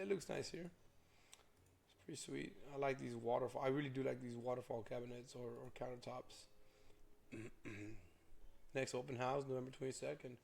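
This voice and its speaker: Hoarse, gravelly man rambling casually. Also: mid-range voice. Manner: rambling, filler-heavy. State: hoarse from a cold. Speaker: realtor. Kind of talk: casual vlog walkthrough.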